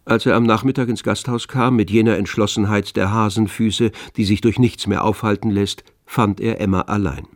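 Man as low-voiced, wry literary narrator. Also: steady tone, moderate pace, hardly any pauses, no echo, studio quality, natural voice. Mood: detached. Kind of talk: scripted radio drama narration.

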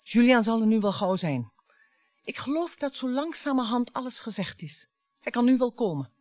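An actress in a radio play playing a bitter, provocative wife who dwells on words theatrically. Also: female voice, fairly high pitch, very animated, normal speed, a little mellow, no echo. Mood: taunting, seductive. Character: bitter, taunting wife dredging up old family grievances.

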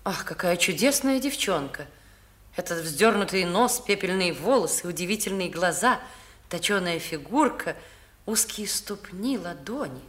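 A woman's voice: on the low side, lively intonation, measured pace, a bit bright. A woman playing an admiring, rapturous mother in a 1960s radio drama.

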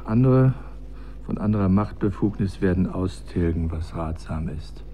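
Fearful humble chronicler, deep voice, grave deliberate recitation, radio drama, background noise. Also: male voice, lively intonation, dark tone. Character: humble, fearful male court chronicler, self-abasing. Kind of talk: German radio drama acting.

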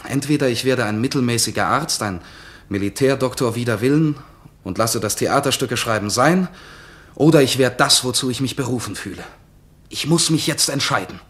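An actor playing a determined young regimental doctor in a radio play, speaking earnestly and resolutely. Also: male voice, mid-range voice, normal speed, a bit bright, close to an everyday voice.

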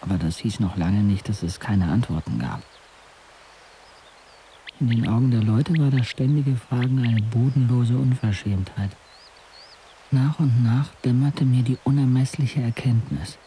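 A man's voice: medium pitch, measured pace, warm tone, slight background noise, natural voice. An actor playing a brooding, disillusioned young man who narrates his life in an introspective, sardonic way for a radio drama. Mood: searching, disdainful. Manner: introspective, sardonic, literary phrasing.

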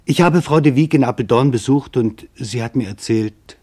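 A man narrating a scripted radio documentary in a sober, deliberate manner. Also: mid-range voice, warm tone, slightly rough.

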